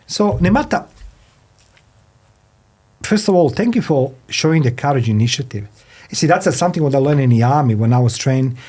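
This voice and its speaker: A man who is a non-native English speaker hosts a podcast interview, speaking haltingly and often repeating his phrases. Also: medium pitch, lively intonation, a little mellow. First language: not English. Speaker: podcast host. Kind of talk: podcast interview, introducing and questioning a guest. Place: studio.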